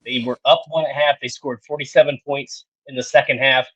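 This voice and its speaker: A man in his thirties, a co-host who lays out his analysis in a measured way and cites stats in casual chat. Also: mid-range voice, steady tone, fairly bright tone, lo-fi sound. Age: 30s. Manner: measured, explanatory, citing stats.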